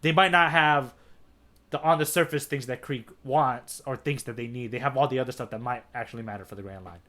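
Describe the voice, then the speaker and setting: A man's voice: medium pitch, fairly quick, pushed and bright. A man co-hosting a podcast, talking about manga in an informal, enthusiastic way. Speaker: podcast co-host. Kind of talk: casual chat about manga.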